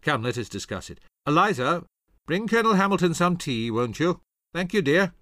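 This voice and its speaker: A man's voice: medium pitch, sing-song, moderate pace, sharp tone, no echo, studio quality, pitched above the performer's own voice. A man voicing a genial, wealthy middle-aged general and father, hearty and courteous, in historical audiobook dialogue.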